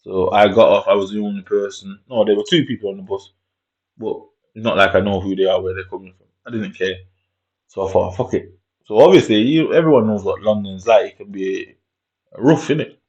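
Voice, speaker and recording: A man's voice: lowish voice, brisk, darkish tone. A man telling a story in a casual monologue, in streetwise slang, swearing and tagging lines with "innit". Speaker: vlogger. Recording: dull lo-fi recording.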